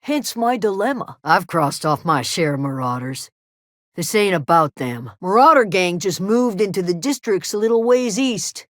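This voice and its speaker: A woman playing a gruff, guarded frontier settler who watches over a camp, terse and plain-spoken, in a video game. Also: on the low side, lively intonation, moderate pace, dry recording, clean studio sound, natural voice. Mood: worried, dutiful. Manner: terse, wary, plain-spoken with folksy drawn phrasing. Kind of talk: video game character dialogue.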